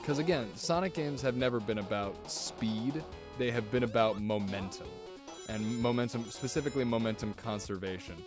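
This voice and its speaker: A man streaming a video game, chatting casually with viewers in an excitable, rambling way and swearing often. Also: mid-range voice, normal speed. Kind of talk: casual gameplay commentary. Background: music.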